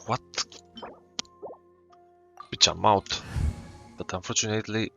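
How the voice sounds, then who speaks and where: A male streamer gives casual, musing game commentary in a low, forward voice. He takes his time between thoughts. The mic sounds slightly dull, with faint game music underneath. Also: fairly even intonation, creaky. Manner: unhurried musing, casual swearing. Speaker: gaming streamer. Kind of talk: casual gameplay reaction commentary.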